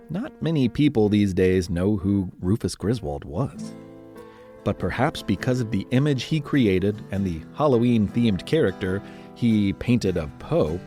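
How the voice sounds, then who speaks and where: A man with a low, expressive voice tells history as a scripted podcast story. He leans on key words and pauses for drama, with music behind him. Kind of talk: dramatic scripted history narration for family listeners. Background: music under the voice.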